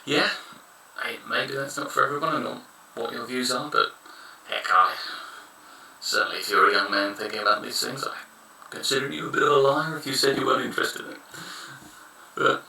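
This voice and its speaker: A man's voice: medium pitch, fairly quick, creaky, sharp tone. A man in his thirties giving frank, rambling advice in a casual monologue. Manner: frank, rambling, blunt opinions. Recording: echoey room, somewhat far from mic.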